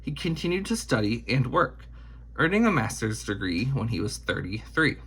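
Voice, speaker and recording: A man reading a children's biography aloud in an expressive storytelling style, with measured, deliberate phrasing. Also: mid-range voice, a little hiss or hum, rough recording.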